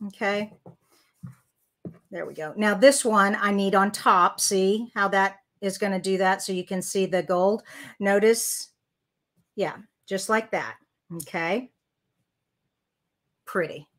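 A woman's voice: mid-range voice, slow, pauses often, fairly bright tone. An older woman hosting a live card-making tutorial, chatting as she works and reading viewer comments aloud. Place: craft room at a desk.

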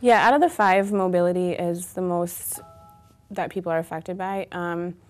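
A woman's voice: fairly low pitch, moderate pace, a little mellow, soft background music. A woman in her thirties, a guest trainer, explaining things casually in an interview. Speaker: guest, gym owner and trainer. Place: small TV studio set, indoors.

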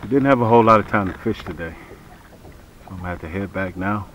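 Low-voiced American man over boat noise. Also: fast, lo-fi sound. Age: middle-aged. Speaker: fishing vlogger. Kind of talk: casual chat to camera. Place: outdoors on a fishing boat on a lake. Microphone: camera mic, close then several feet away. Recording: clearly noisy outdoor boat recording. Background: boat motor and outdoor noise.

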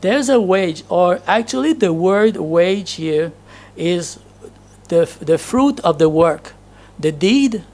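Gravelly-voiced man preaching at a pulpit. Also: high-pitched, expressive, slow pace, a little mellow. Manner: storytelling, chatty, laughs at himself. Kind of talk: anecdotal sermon. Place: church hall with projection screen. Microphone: handheld microphone.

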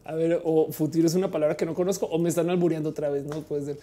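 Warm, low-voiced woman in her forties. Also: little pitch movement, fast talker. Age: 40s. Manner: chatty, playful, many fillers. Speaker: livestream host reading chat. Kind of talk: casual live chat.

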